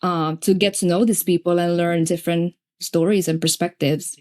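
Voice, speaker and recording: A woman's voice: lowish voice, steady tone, normal speed, a little mellow. Chatty Hungarian-accented young woman. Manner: chatty, lots of "like". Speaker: singer-songwriter, podcast guest. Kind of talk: casual panel conversation.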